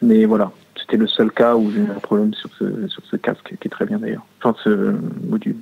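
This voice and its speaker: A man giving casual feedback as a customer, halting often to search for words. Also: on the low side, little pitch movement, gravelly, slightly rough. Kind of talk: casual spoken phone feedback. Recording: tinny, narrow phone line.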